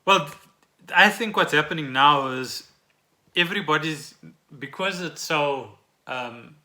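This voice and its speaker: A man's voice: on the high side, unhurried, bright, forceful tone. A South African man co-hosting a podcast, explaining trending news in an informal, chatty way. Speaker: podcast co-host. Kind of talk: casual chat about trending news.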